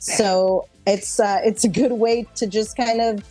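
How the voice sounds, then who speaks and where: An American woman gives casual, thoughtful answers as an interview guest. Her voice sits at a middle pitch and is recorded dry, with faint music playing behind her. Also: steady tone, measured pace, warm tone, no echo. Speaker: interview guest, actor and improv performer.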